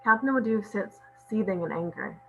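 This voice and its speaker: A woman with a medium-pitched voice reads stage directions at a table read. The recording carries faint noise and hum. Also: expressive, normal speed, vocal fry, sharp tone, poor audio. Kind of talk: scripted narration at a table read.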